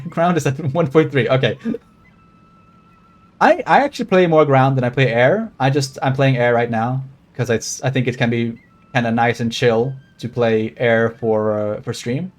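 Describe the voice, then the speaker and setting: A man's voice: mid-range voice, normal speed. A Swedish man with a general American accent, a game streamer casually rambling and swearing while chatting with viewers. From Sweden. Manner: rambling, swears freely, talks to chat while playing. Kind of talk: casual live gaming commentary.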